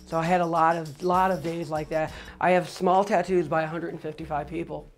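A middle-aged man with a high voice, speaking matter-of-factly in an interview, with frequent fillers. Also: flat delivery, fairly quick, faint music behind. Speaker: record holder. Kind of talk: interview monologue. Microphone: lapel.

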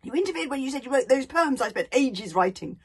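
A woman voicing a furious, berserk young Viking boy who shouts in a high, shrill voice. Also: animated, fairly quick, breathy edge.

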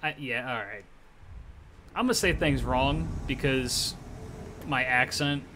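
A man's voice: mid-range voice, slow, bright, forceful tone. A man streaming a video game live, chatting loosely with his viewers, swearing and talking back at the game. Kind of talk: live gameplay commentary. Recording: clear game noise behind.

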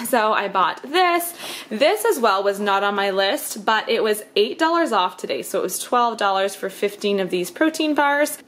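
A woman's voice: fairly high pitch, fairly bright tone. A woman in her thirties chatting casually through a grocery haul at home, laughing often and filling with um and like. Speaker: vlogger, mother of five. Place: home kitchen and living room. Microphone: handheld camera at arm's length.

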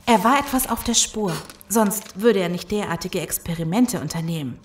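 An earnest female scientist played by an actress in a horror audio drama. Her voice sits at a middle pitch and rings with room echo. She sounds like someone explaining grim research. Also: moderate pace, a little hiss or hum, close to an everyday voice. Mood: serious. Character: earnest scientist woman explaining a zombie virus. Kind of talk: German audio drama acting.

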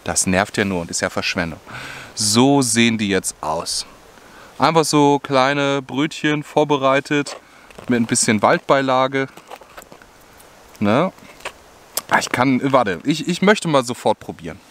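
A man's voice: mid-range voice, animated, a little slow, long pauses, a bit bright. A young man chats casually and easygoingly to viewers while cooking outdoors, thinking aloud in colloquial speech. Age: young adult. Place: outdoors in a forest. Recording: clearly noisy outdoor background.

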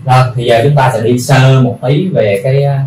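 A man presenting products in an unscripted demonstration, explaining in a halting, repetitive way as he searches for words. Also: medium pitch, fairly even intonation, darkish tone. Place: indoor room full of audio equipment. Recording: roomy, distant mic, faint background noise.

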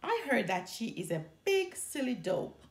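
A woman's voice: fairly high pitch, sing-song, measured pace. A woman voicing a young, sensitive sister bear cub in an earnest, emphatic storybook reading. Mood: angry, loud. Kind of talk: children's storybook read-aloud. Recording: roomy indoor echo.

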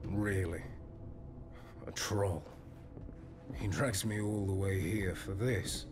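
Deep-voiced, skeptical mercenary hunter. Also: male voice, fairly even intonation, takes it slowly, music in the background. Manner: blunt, sardonic. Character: gruff, skeptical, hard-bitten male mercenary hunter. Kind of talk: video game cutscene acting.

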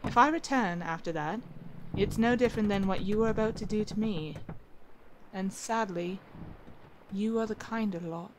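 A woman's voice: medium pitch, moderate pace, slight background noise, natural voice. A woman playing an assertive, wry captive pirate admiral in an ASMR roleplay, with a formal, theatrical, teasing delivery. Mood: grave, measured.

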